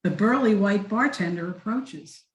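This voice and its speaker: A woman's voice: mid-range voice, lively intonation, measured pace. A woman narrator reading stage directions at a table read, in an echoey room.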